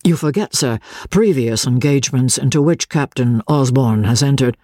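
A man voicing a shy, earnest young army captain who speaks gravely and respectfully to an older man. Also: mid-range voice, normal speed, dark tone, dry recording, studio quality, natural voice.

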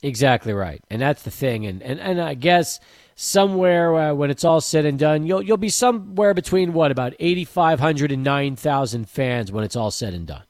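A man's voice: a little high, animated, normal speed, darkish tone, no echo, clean studio sound. A man hosting a sports radio show, talking in a loose, rambling way with many restarts and repeated words. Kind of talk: casual radio interview.

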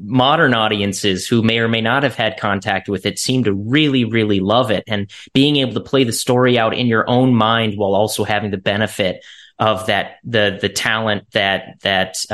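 A man with a fairly low voice answers as a guest in a video-call interview. He is reflective and thoughtful, feeling his way toward the right words. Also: fairly even intonation, moderate pace, a bit bright. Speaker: actor being interviewed.

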